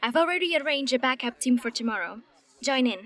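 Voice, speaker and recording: A woman playing a caring team captain who speaks calmly and with authority. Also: high voice, fairly quick, faint music behind. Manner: calm, warm and commanding. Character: steady, caring young female captain. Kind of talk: audio drama acting.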